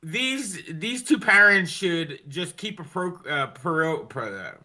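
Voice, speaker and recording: A man's voice: a little high, expressive, takes it slowly, pushed and bright. A man hosting a casual sports podcast, ranting in an exasperated way and swearing heavily. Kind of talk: casual hockey fan chat.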